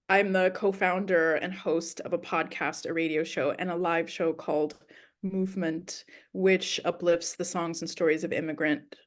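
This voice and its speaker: A woman born in Ethiopia answers interview questions in a thoughtful, storytelling manner, pausing on ums and you-knows as she explains her music. Her voice sits a little low. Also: fairly even intonation. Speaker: musician guest. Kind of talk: casual interview talk.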